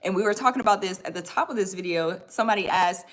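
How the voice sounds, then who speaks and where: A woman's voice: mid-range voice, quick pace. A woman in her thirties hosting a casual livestream, reading viewer comments and talking about online courses in a friendly, upbeat way. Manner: friendly, upbeat, says y'all and right often. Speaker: livestream host and course coach.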